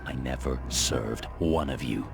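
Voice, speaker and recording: Game antihero: bottomless bass, gritty, world-weary, sardonic noir monologue over prominent music. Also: male voice, a little slow, gravelly, full character voice. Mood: grim, defiant. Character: cynical dead gunman doomed to fight through purgatory.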